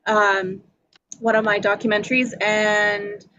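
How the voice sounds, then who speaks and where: A woman's voice: medium pitch, flat delivery, slow pace, bright, forceful tone, a little hiss or hum. A woman chatting casually, scattered and trailing off as she goes. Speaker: filmmaker and show helper. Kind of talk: casual chat. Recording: dry, dull lo-fi audio.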